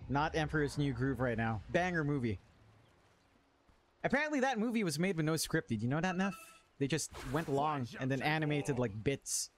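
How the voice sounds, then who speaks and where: A man's voice: on the high side, big pitch swings, moderate pace. A man working as an esports caster, mixing live game commentary with casual chat. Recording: clearly noisy stream audio.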